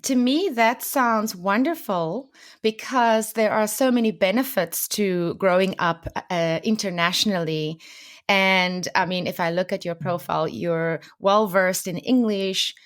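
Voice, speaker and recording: A woman's voice: medium pitch, a little slow. A woman hosting a podcast, reading a guest introduction and then interviewing her guest in an encouraging way. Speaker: podcast host. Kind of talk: casual interview.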